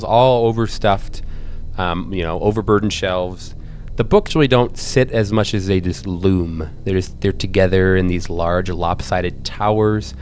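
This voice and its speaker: Low-voiced man narrating tabletop horror. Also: slight background noise. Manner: halting, searching descriptions. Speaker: game master. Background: background music.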